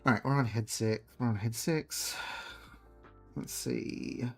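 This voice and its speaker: A man streaming himself drawing, chatting casually and softly, often trailing off mid-thought as he works. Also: mid-range voice, slow pace, creaky. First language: English. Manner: soft, musing, trails off mid-thought while working. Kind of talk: casual chat while drawing. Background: faint background music.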